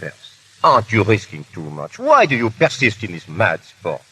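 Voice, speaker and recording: An actor playing a loyal, anxious French patriot in a 1930s radio drama, with an excitable, emphatic delivery. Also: male voice, lowish voice, moderate pace, slightly rough, exaggerated voice. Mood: concerned, protesting. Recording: hissy 1930s radio broadcast.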